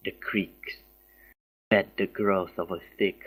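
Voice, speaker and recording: A man's voice: low voice, fairly even intonation, takes it slowly, fairly bright tone, breathy edge, poor audio. A man reading a story aloud as an amateur, stumbling over words and dropping in casual asides. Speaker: story reader. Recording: music behind the voice. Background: background music.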